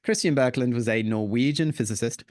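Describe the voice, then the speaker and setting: A man giving an illustrated talk on the history of the northern lights, telling it as a lively story with playful asides. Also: mid-range voice, fast talker, no room sound, clean studio sound. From Danish heritage. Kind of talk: illustrated presentation with slides.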